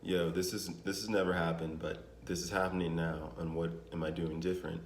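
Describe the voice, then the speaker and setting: Deep-voiced young man in echoey room. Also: fairly quick, slight background noise. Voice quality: naturally deep voice. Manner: relaxed, rambling, with many fillers and restarts. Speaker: vlogger. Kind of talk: casual vlog talk to camera. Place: home in Denver. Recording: echoey room with faint ambience.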